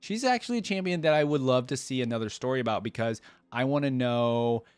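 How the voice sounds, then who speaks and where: A man's voice: mid-range voice, fast, clean tone, dry recording. A man hosting a casual reaction video, thinking aloud in an informal, rambling way. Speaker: YouTube reaction host.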